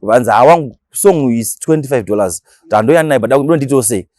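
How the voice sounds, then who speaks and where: A man's voice: medium pitch, animated, fairly quick, a little mellow, no room sound, studio quality. A man with a Zimbabwean accent, talking casually as a radio DJ being interviewed, mixing languages and repeating words for emphasis.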